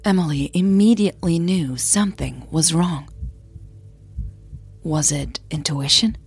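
Slow, low-voiced woman narrating over music. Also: slightly rough. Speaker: podcast host and narrator. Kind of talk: scripted audio drama narration.

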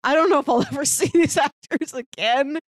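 A woman's voice: high-pitched, a little fast, dry recording, studio quality. A woman co-hosting a podcast, chatting expressively and casually about a TV show. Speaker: podcast co-host.

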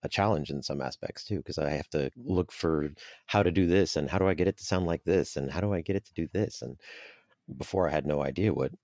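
A man who is an audio drama producer and voice actor, chatting casually in an interview in a quick, self-deprecating way. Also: extremely low pitch, a little mellow, dry recording, clean studio sound.

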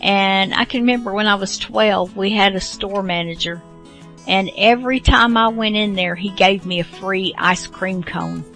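A woman's voice: mid-range voice, normal speed, a bit bright. A woman hosting a show, chatting casually to listeners in a folksy, rambling way. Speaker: show host. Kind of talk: casual solo chat to listeners. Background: faint plucked guitar music.

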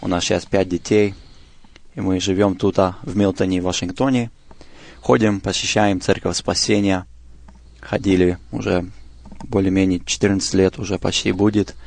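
A man in his twenties introduces himself as a radio guest. His voice is low and gravelly with vocal fry, recorded dry in a studio. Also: steady tone, normal speed, darkish tone, no echo. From Moldova, later United States. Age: 20s. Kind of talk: personal introduction in interview.

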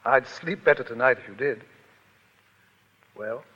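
An actor playing a suave, courtly, very wealthy suitor in a 1930s radio drama, with polished, formal, gallant speech. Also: male voice, mid-range voice, unhurried, sharp tone, slightly rough. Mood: courtly, wooing. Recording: vintage 1930s radio, dull, hall reverb.

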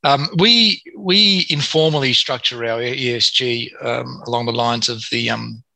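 A man with a gravelly, fry-laced voice that comes across strikingly bright and forceful. He answers interview questions in a halting way, full of fillers and restarts. The sound is very dry and close. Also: mid-range voice, no echo. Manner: hesitant, many fillers and restarts. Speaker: mining company executive. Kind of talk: business interview.

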